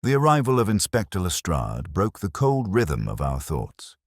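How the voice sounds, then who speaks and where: A man reading a scripted first-person mystery story as an audiobook narrator, in a measured, literary delivery. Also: low-pitched, huge pitch swings, no room sound, studio quality.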